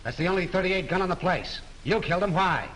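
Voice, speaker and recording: Blunt, needling police lieutenant. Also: male voice, high-pitched, quick pace, a bit bright, a little hiss or hum, rough recording. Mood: gruff. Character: brusque, sarcastic male police lieutenant. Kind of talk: 1930s film acting.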